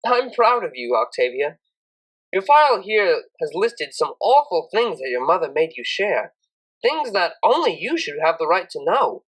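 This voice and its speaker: A woman's voice: fairly low pitch, big pitch swings, normal speed, rough recording. Kindly male pony tutor, played by a woman, storybook mentor, encouraging, good-humoured, varied intonation. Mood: sincere, admiring.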